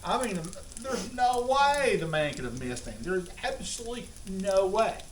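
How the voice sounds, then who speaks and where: Man, Southern US accent, rambling comic storyteller, slightly high voice, distant mic, clear kitchen noise. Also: sing-song, normal speed, echoey room. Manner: rambling, folksy, exaggerating. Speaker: storyteller on a home cooking vlog. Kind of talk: comic anecdote, casual chat. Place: home kitchen with food sizzling.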